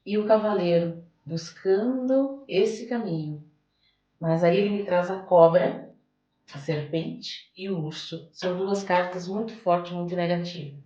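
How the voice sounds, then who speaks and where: A woman's voice: fairly low pitch, a little slow, warm tone, muffled recording. A Brazilian woman explaining things as a card reader and interview guest, repeating phrases for emphasis. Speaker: podcast guest, oracle card reader and holistic therapist. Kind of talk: card reading and interview answers. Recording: echoey room with music behind.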